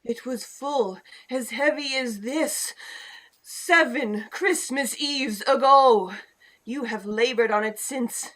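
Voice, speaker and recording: A woman's voice: a little high, takes it slowly, fairly bright tone, rough recording. A woman playing the mournful, stern ghost of a dead moneylender in a theatrical radio play, declaiming in solemn laments. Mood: solemn, ominous.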